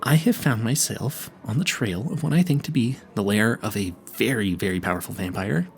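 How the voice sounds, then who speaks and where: A man's voice: lowish voice, moderate pace, gravelly. A man playing a nervous, clueless young vampire hunter in an audio roleplay, stammering and easily sidetracked as he earnestly chats to the listener. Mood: eager, rambling.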